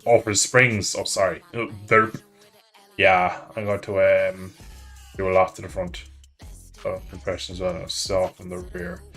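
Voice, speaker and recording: A man with an Irish accent chatting casually and joking while streaming a motorbike racing game. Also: deep voice. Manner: joking, rambling, self-mocking. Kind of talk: casual chat while gaming.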